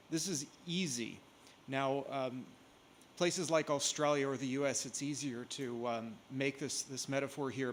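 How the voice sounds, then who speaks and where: A man presents a technical talk at a conference lectern. His voice sits a little high for a man. He explains plainly with frequent ums, and faint noise hangs in the large hall behind him. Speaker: conference speaker. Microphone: lectern mic.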